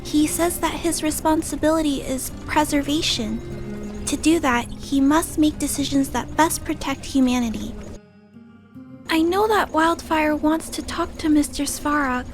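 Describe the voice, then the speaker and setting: A woman voicing a gentle, earnest little girl in a video game cutscene, speaking softly, hesitantly and politely. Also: high-pitched. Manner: soft, hesitant, polite, pleading.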